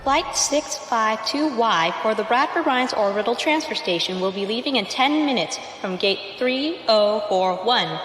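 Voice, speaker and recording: A woman's voice: on the high side, lively intonation, unhurried, hardly any pauses, fairly bright tone. Lady on a spaceport tannoy, formal, big echo, bit of background noise, audio drama. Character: formal spaceport boarding announcer, woman. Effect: public address echo. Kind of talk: public address announcement in audio drama. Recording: echoing hall PA, faint ambient noise.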